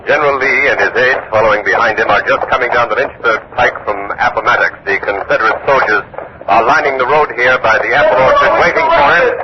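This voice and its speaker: An actor playing an earnest war correspondent giving live field commentary in the style of 1940s radio drama. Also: male voice, mid-range voice, fairly quick, lightly acted. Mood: grave, reporting. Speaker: field reporter. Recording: very noisy, muffled old radio broadcast.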